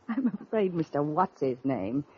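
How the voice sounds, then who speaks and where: An actress playing a suspicious, strong-willed American heiress, crisp and pointed in a 1940s radio mystery style. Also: female voice, low voice, sing-song, takes it slowly, exaggerated voice. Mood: skeptical. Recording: muffled, noisy vintage radio recording.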